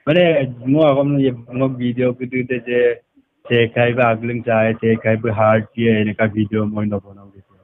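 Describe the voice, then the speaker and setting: A man's voice: medium pitch, little pitch movement, normal speed, no room sound, narrow, tinny audio. Man, dry close sound. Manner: mixes in English words. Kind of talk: casual phone chat.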